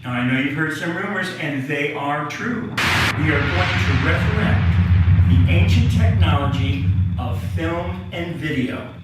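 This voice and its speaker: A man's voice: on the high side, animated, a little slow. A man playing a grandiose showman presenting an orientation video in a radio drama, theatrical and presenterly. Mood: welcoming, showy. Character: grandiose male showman addressing viewers on orientation film. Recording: echoing, distant playback over loud music. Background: loud music.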